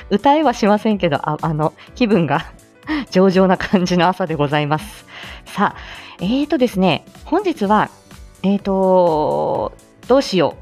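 A woman's voice: mid-range voice, darkish tone. A woman hosting a live audio show, chatting casually and politely through morning announcements.

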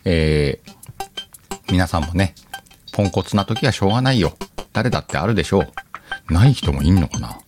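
Male host, very low register with animated intonation, informal self-mocking banter while reading letters over a clear music bed. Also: fairly quick, long pauses. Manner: laughs often, talks to himself, self-deprecating banter. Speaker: host of an audio show. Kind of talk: casual chatty solo radio talk, reading listener letters.

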